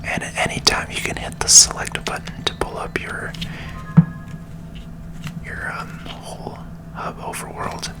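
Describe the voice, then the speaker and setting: A person whispering calm ASMR commentary over a video game, close to the microphone. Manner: slow, calming.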